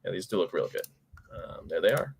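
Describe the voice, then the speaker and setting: A young man co-hosting a casual podcast about action figures, excitable, laughing often and trailing off mid-sentence. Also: sits low, brisk, gravelly, breathy edge. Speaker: podcast co-host.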